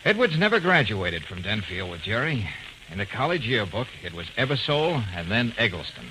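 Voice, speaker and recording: An actor playing a tough, wisecracking private detective in 1940s American radio drama, with brisk clipped delivery. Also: male voice, on the low side, very animated. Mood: matter-of-fact. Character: wry, tough, quick-witted male private detective. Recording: noisy vintage radio drama recording.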